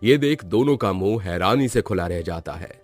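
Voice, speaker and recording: A man's voice: on the low side, expressive, moderate pace. A man telling a scripted children's story as its narrator. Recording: music behind the voice.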